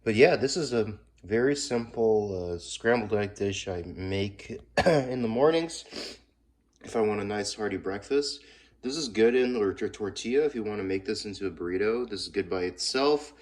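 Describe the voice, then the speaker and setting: A man's voice: on the low side, lively intonation, moderate pace, a little mellow, echoey room. A man with a congested voice giving a chatty, casual cooking demonstration in his home kitchen. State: congested from a cold. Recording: clear kitchen noise, frying, clatter.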